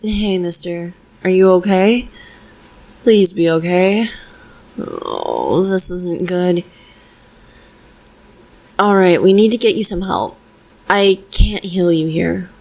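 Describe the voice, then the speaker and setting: A woman playing a caring, frank witch in an audio roleplay, speaking to the listener in a gentle, conversational way. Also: fairly low pitch, expressive, slow pace, long pauses, full character voice. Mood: worried, soothing. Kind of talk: intimate audio roleplay acting, addressing listener.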